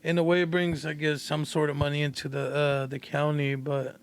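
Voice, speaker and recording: Young male co-host, higher-pitched voice, informal slangy conversation. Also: flat delivery, a little fast, gravelly, smooth. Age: young adult. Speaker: podcast co-host. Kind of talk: casual chat, slangy with swearing.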